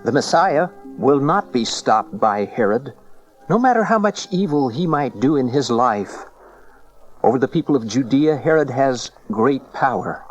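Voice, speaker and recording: A man's voice: mid-range voice, lively intonation, measured pace, highs cut off, soft background music, natural voice. An actor playing a serene, faithful wise man in a dramatized audio Bible, speaking earnestly and reassuringly. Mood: calm conviction.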